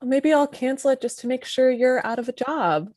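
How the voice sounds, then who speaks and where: A woman's voice: high voice, normal speed, darkish tone, no echo. Woman acting scornful TV executive, dry close sound. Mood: vindictive. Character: scornful, vindictive female television network executive. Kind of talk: casual comedy script table read.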